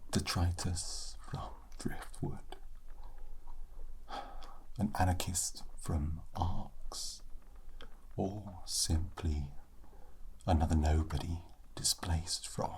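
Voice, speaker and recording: A man voicing a sassy, bookish undead skeleton in a soft, breathy ASMR roleplay, slowly and with condescending, sardonic pauses. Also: deep voice, steady tone. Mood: haughty, probing. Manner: slow, deliberate, sardonic, with long dramatic pauses. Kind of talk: ASMR roleplay, soft-spoken character monologue.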